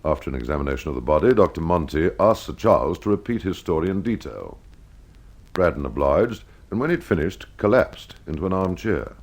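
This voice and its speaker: A man's voice: low-pitched, big pitch swings, fairly quick. A man narrating an old radio mystery in a genial, wry storytelling manner.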